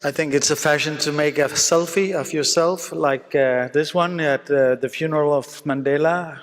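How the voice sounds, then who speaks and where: A man from Denmark with a Danish accent gives an informal conference lecture as a surgeon, speaking English as a second language with halting phrasing. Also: on the high side, steady tone, a little hiss or hum. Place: conference hall, live talk. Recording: reverberant hall sound.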